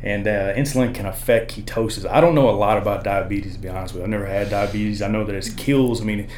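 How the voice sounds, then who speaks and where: A man with a deep baritone voice, a podcast guest explaining things in loose, rambling casual chat and hedging as he goes. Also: steady tone, quick pace, a little mellow, a little hiss or hum.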